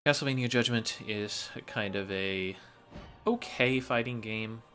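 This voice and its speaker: A man commentates on a video game run, explaining as he goes. His voice sits somewhat low yet has a bright, forward edge, with light music underneath. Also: unhurried. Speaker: speedrun commentator.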